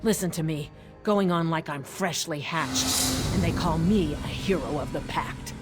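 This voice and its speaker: A woman plays an aging lizardfolk heroine of a fantasy game. The voice sits on the low side, chatty and wry with a self-deprecating turn, over faint music. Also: moderate pace, natural voice. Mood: musing.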